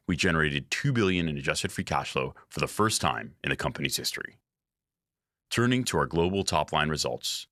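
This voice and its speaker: A man reads a scripted earnings presentation as a company executive, in a formal, measured manner. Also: sits low, fairly bright tone, no echo, studio quality. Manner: formal, measured reading of figures.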